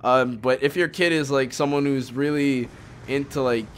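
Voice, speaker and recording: A man's voice: medium pitch, steady tone, moderate pace, a little hiss or hum. A young man hosting a gaming show, chatting casually in a rambling, hedging way. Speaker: host of a gaming video series. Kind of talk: casual chat over gameplay.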